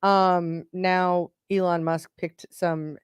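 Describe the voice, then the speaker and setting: A woman's voice: on the low side, level tone, slow, clear, resonant tone, no echo. A woman co-hosting a live racing engine show, chatting casually and rambling a little as she greets viewers and reads out their comments. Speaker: co-host of a racing engine livestream. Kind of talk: casual chat.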